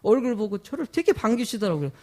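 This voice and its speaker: A man's voice: sits high, big pitch swings, darkish tone. A man preaching a sermon as a pastor, emphatic, putting rhetorical questions to his congregation, his throat sore from a cold. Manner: emphatic, with drawn-out stressed phrases.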